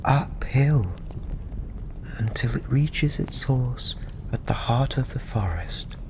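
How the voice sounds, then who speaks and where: A man softly narrating a fantasy story in ASMR style, breathy and often half-whispered, soothing and unhurried. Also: mid-range voice. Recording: steady ambient background noise.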